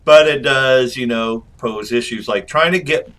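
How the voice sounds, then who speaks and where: A man's voice: medium pitch, measured pace, sharp tone. A man giving casual, jokey, rambling video game review commentary.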